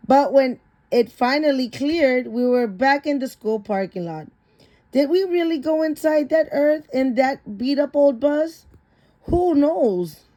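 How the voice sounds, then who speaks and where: Slow-paced woman reading a picture book aloud. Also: high-pitched, lively intonation, mellow. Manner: stumbles over harder words and corrects herself. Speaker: story reader.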